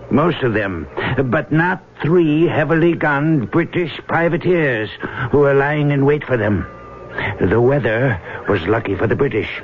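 Brisk, blunt old-radio ship owner, muffled. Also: male voice, a little high, slight background noise. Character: wealthy, driven older ship owner, blunt and wry. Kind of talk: old-time radio drama acting.

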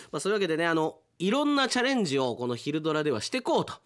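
A male radio announcer who narrates a drama and then chats with listeners. His pitch moves a lot. He is jokey and playful and sometimes rattles off lines in quick bursts. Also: very animated, fairly bright tone, dry recording, clean studio sound. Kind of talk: radio drama narration and casual host chat.

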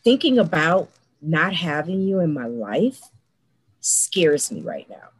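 A woman with a husky, slightly low voice speaks earnestly on a podcast. She talks in a heartfelt way to her co-hosts. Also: very animated, slow pace. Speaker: podcast co-host. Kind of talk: casual chat.

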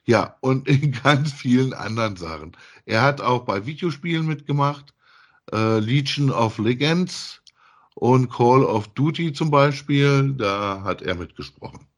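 A man co-hosts a casual podcast. He talks at a slow pace in a mid-range pitch. His delivery is halting and deliberate. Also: expressive. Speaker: podcast co-host.

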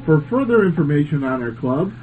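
A man's voice: on the high side, big pitch swings, fairly quick, narrow, tinny audio, faint music behind. A man hosting a hobby club radio show, reading his introductions informally. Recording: faint background noise.

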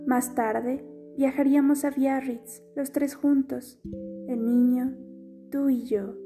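A woman reading a literary letter aloud in a soft, slow, wistful way. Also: high-pitched, steady tone, faint music behind. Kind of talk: scripted audiobook reading.

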